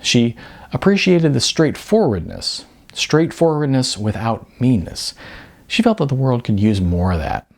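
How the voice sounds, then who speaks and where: Male audiobook narrator, lively intonation, noticeable vocal fry, scripted storytelling. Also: medium pitch, unhurried.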